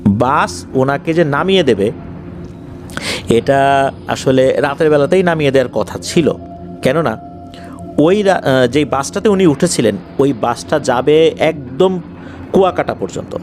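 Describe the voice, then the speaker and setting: A Bangladeshi man tells horror stories in a fairly high, lively voice that moves up and down a lot. He speaks conversationally, circling back to explain details and build suspense. Faint music plays behind him. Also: measured pace, long pauses. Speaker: horror story narrator. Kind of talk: conversational spoken storytelling of paranormal incidents. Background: faint background music.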